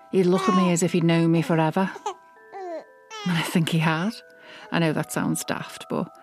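A middle-aged British mother speaks in a fairly low voice, confiding and defensive. She is possessive and self-justifying, with hesitant pauses, as in an audio drama monologue with music underneath. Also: warm tone. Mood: earnest, fond. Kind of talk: dramatic monologue, confessional fiction podcast.

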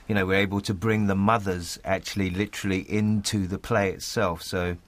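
A man's voice: low voice, fairly even intonation, a little fast. A man talking earnestly and articulately in an interview, often repeating phrases for emphasis. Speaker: playwright. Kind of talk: thoughtful interview talk.

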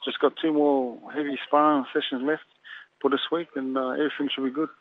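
A man's voice: medium pitch, little pitch movement, no echo. Man from Samoa on a tinny phone line. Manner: halting, leans heavily on "you know" fillers. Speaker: boxer being interviewed. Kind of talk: phone interview.

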